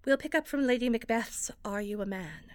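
A woman's voice: fairly high pitch, moderate pace, a little mellow, natural voice. A woman playing a stern, demanding theater director with a formal, clipped manner, in an audio drama. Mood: brisk, coaching. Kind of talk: audio fiction acting.

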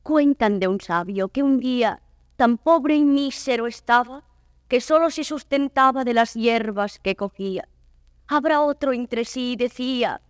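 A woman's voice: fairly high pitch, unhurried, no room sound, studio quality, close to an everyday voice. A woman playing a sorrowful, proud, courteous noble wanderer, solemnly declaiming classical verse in a theatre drama. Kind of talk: classical Spanish verse stage acting.

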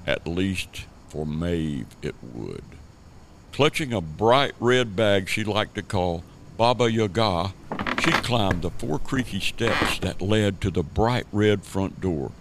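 Male storyteller for radio drama narration: fairly low pitch, scripted delivery, slight background ambience. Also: animated, slow pace, background noise. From Savannah, Georgia, United States.

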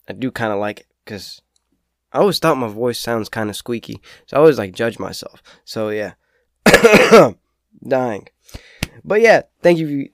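A young man in his teens with a raspy voice from a cold, talking casually and rambling cheerfully as a solo podcast host. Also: medium pitch, no room sound, clean studio sound. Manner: rambling, lots of fillers, upbeat. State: sick with a cold. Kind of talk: casual solo talk about saving money.

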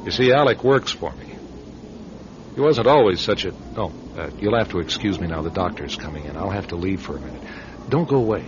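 A man's voice: sits low, animated, brisk. A man playing a wry, sardonic middle-aged radio station manager in a conversational radio drama style. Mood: wry, somber. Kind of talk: radio drama narration and dialogue. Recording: dull, hissy old radio recording.

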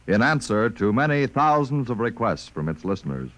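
A man's voice: mid-range voice, sing-song, moderate pace, fairly bright tone. An American man announcing in a formal 1950s radio style on an old broadcast recording. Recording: very noisy vintage radio broadcast.

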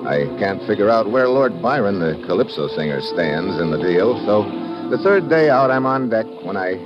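A man's voice: lowish voice, sing-song, normal speed. A man acting a tough, wry undercover agent in a 1950s radio drama, narrating and talking in a clipped hardboiled way. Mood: grim, wary. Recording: dull vintage radio recording with music.

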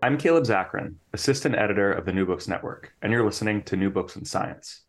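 A man's voice: on the low side, quick pace, soft background music. A man hosting and interviewing on a podcast.

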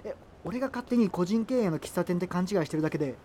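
An actor playing an opinionated, nitpicking high-school boy who rambles fussily, in a naturalistic radio drama with noisy ambience. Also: lively intonation, quick pace, mellow. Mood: griping, opinionated. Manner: rambling, fussy, complaining banter. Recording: clearly noisy ambient background.

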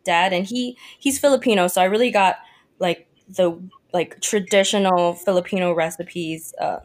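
A woman's voice: on the low side, moderate pace. Chatty young woman from Hawaii. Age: young adult. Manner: friendly and chatty, lots of "like" and "uh". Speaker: cook and guest. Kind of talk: casual interview chat.

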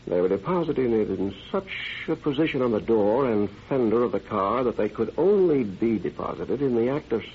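A man's voice: mid-range voice, expressive, normal speed, few pauses, lightly acted. An actor playing a learned, confident male criminologist who speaks formally and methodically in an old-time radio drama. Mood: certain. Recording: dull, noisy vintage radio recording.

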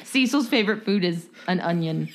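A woman's voice: fairly low pitch, animated, brisk, noisy background. A woman co-hosting a podcast in a playful, teasing, casual way. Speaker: podcast co-host. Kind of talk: casual chat.

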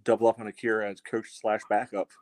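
Lowish, dry-sounding man talking sports. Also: flat delivery, fast talker, darkish tone, no room sound. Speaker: co-host of a soccer fan show. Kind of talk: casual sports analysis.

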